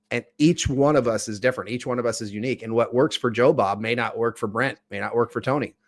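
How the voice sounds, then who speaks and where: A man as a guest leadership coach, talking casually and emphatically, repeating his points for stress. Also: mid-range voice. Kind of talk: casual interview chat.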